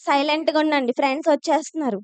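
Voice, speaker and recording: A woman's voice: high voice, fairly even intonation, racing, dry recording. A woman hosting a Sunday school puppet show, addressing children live in a bossy, teacherly way. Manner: bossy, teacherly, repeatedly instructing.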